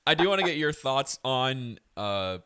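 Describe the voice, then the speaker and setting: A man co-hosting casual sports talk in a halting way, piecing his questions together as he goes. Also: mid-range voice, big pitch swings, a bit bright, clear, resonant tone, no room sound, clean studio sound. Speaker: sports show co-host.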